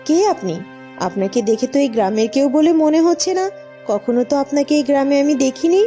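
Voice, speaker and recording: A woman's voice: high voice, animated, quick pace, dark tone, soft background music. A woman voicing a curious, helpful young village woman in cartoon dialogue, sounding concerned. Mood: surprised. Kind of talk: cartoon dubbing, fairy-tale dialogue.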